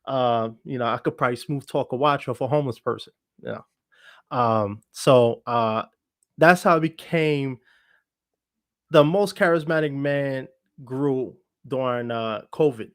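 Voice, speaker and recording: A man's voice: medium pitch, a little slow, a bit bright, clean tone, no echo, clean studio sound. A man speaking as a podcast guest, telling their own story in a self-assured, reflective and meandering way in casual conversation. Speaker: podcast guest, actor and entertainer. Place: online video call for a livestream podcast.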